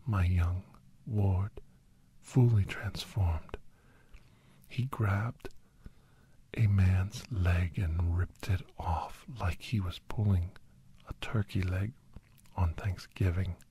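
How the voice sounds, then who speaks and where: A man playing a grave doctor in an ASMR horror story, whispering deliberately in a breathy, husky voice, with pauses and repeated phrases. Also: low-pitched, steady tone, dark tone. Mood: hushed, suspenseful. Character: Doctor Andrew Michaels, grave male storyteller recounting a werewolf encounter. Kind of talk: whispered ASMR dramatic first-person storytelling.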